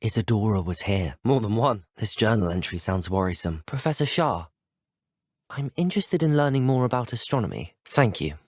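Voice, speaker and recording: A man's voice: fairly low pitch, expressive, normal speed, a little mellow, no room sound, studio quality. A young man with a British accent voicing a polite, earnest, brave teenage wizard student in scripted video game dialogue, well-spoken and courteous. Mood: curious, purposeful.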